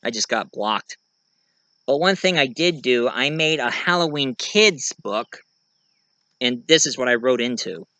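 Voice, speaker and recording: A man talking casually and ramblingly about stories he wrote, halting and repeating phrases as he goes, with an animated storyteller's way and the odd "eh" tagged on. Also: medium pitch, no room sound. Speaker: video creator and amateur writer.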